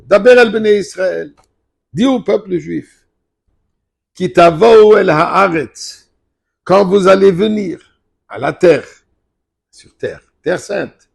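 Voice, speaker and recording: An older man, a rabbi, giving a Torah lesson, emphatic and at times forceful. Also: sits high, vocal fry. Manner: emphatic, rising to forceful outbursts.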